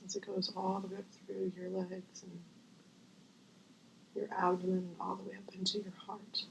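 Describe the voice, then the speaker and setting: A woman guiding a visualization as host, gentle and unhurried with long pauses, her voice breathy and often near a whisper. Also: medium pitch, completely flat delivery, a little hiss or hum, low-quality recording. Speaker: guided meditation host. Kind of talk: guided visualization, meditation.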